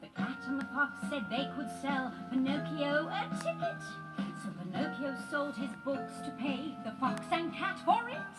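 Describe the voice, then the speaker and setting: A woman's voice: high voice, sing-song, unhurried, poor audio. A woman narrating a children's storybook in a scripted read-along style, with music behind her. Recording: loud guitar music under the voice. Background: sing-along music.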